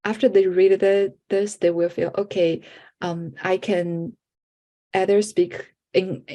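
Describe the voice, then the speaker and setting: A woman's voice: mid-range voice, level tone, mellow. A woman presenting at an online workshop who grew up in China, a native Chinese speaker who speaks English hesitantly, with many restarts and fillers. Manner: halting, with frequent restarts and fillers. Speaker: conference presenter.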